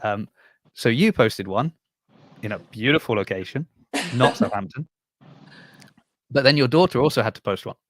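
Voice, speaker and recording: An upbeat man hosts a relaxed fitness chat, keeping things light and poking fun at his guests. His voice sits in a middle register. It is recorded close and dry, with no room sound. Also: mid-range voice, fairly quick, no echo. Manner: upbeat, playful and teasing. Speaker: podcast host. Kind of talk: casual interview chat.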